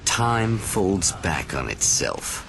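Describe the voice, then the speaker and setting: An actor playing a portentous narrator of an eerie television anthology, speaking in a measured, theatrical way with a deep gravelly voice. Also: male voice, big pitch swings, background noise, exaggerated voice. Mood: ominous, portentous. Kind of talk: dramatic scripted narration in vintage anthology show manner.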